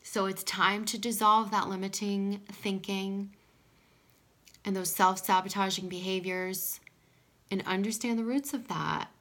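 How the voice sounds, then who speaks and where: Female card reader, bright voice roughened by pollen, gentle and reassuring, recorded at a table in a small home room. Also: mid-range voice, steady tone, a little slow. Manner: soft-spoken, drifting into whispers, frequent "okay?". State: scratchy voice from pollen. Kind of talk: casual oracle card reading.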